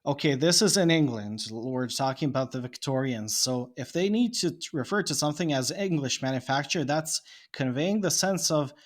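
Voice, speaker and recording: A man's voice: medium pitch, lively intonation, moderate pace, few pauses. A man from Bosnia, a podcast guest, speaking English with a Bosnian Serbian accent. He muses and thinks aloud in casual chat, often laughing.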